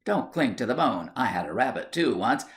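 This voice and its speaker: A man's voice: mid-range voice, big pitch swings, moderate pace. A man voicing a gossipy old aunt in a storybook tale, homely, rambling and dramatic. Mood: gossipy, grave.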